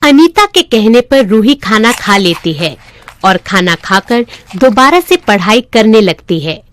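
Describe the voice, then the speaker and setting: A woman's voice: medium pitch, lively intonation, a little slow. A woman narrating a scripted moral story for children as a storyteller.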